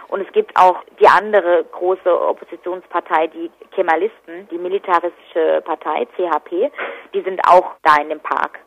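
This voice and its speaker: A woman's voice: fairly low pitch, fairly even intonation, moderate pace. A woman giving spontaneous interview answers as an activist. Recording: dull, lo-fi telephone line.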